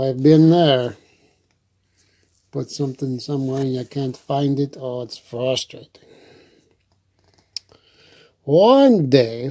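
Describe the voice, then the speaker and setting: A man's voice: medium pitch, warm tone. A man hosting storytime, reading a children's book and adding folksy, chatty asides. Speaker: storyteller and host.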